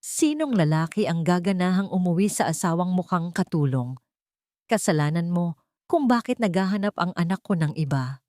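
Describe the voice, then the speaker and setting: A woman's voice: fairly low pitch, lively intonation, moderate pace, warm tone, no room sound, clean studio sound, natural voice. A woman voicing a cruel, contemptuous elderly mother-in-law who scolds and belittles in a Tagalog audio drama.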